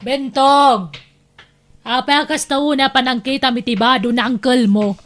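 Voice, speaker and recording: A woman's voice: high voice, fairly even intonation, a little slow, a bit bright. A woman with an Ilocano accent playing a bossy, boastful aunt who scolds in an audio drama. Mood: scolding. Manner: scolding, insistent. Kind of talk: Filipino audio drama acting.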